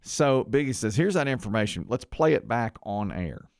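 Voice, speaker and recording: A middle-aged man hosting a casual radio show. His pitch moves around a lot as he chuckles and doubles up phrases. The sound is close and dry. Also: lively intonation, moderate pace, a little mellow, no room sound.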